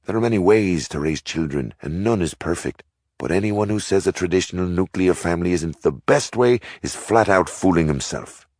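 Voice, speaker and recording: A man's voice: low-pitched, lively intonation, normal speed, a little mellow, dry recording, clean studio sound, voice pushed up. A man doing an old Irish priest lecturing. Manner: lecturing, earnest.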